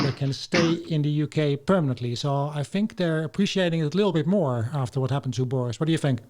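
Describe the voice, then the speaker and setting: A man's voice: a little high, a little mellow. A man from the Netherlands, hosting a podcast interview in a chatty way, citing articles with frequent "uh"s. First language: Dutch. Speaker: podcast host. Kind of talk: interview conversation.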